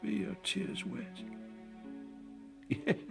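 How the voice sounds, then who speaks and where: An actor playing a frail, confused old king in his eighties in a classical audio drama, gravelly and halting. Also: loud music behind the voice. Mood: humbled, sorrowful. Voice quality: gravelly, creaky. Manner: halting, bewildered.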